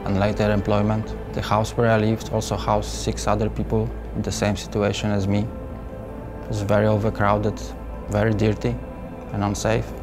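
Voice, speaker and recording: A man from Poland calmly recounting his own experience in a measured first-person voice-over. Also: lowish voice. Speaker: trafficking survivor telling his story. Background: soft background music.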